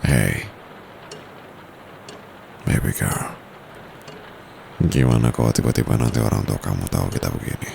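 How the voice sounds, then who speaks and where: Male VA as teasing secret-dominant tutor, ASMR roleplay, extremely deep, gravelly, close-mic. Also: full character voice. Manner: soft-spoken, teasing, slow and close. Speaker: voice actor. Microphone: close condenser mic. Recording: steady background noise with traffic.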